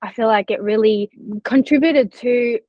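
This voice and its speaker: A woman's voice: a little high, moderate pace, darkish tone, dry recording. A young woman who grew up partly in Turkey, talking earnestly and chattily as a podcast guest, with lots of likes and you-knows. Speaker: scholarship recipient guest. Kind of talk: candid podcast interview. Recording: slightly dull lo-fi audio.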